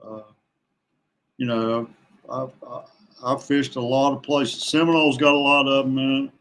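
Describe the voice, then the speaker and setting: A man with a medium-pitched voice talks casually as an angler guest on a podcast. His delivery is folksy and halting, and he often repeats words as he works toward his point. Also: little pitch movement, fairly bright tone. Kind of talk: casual chat.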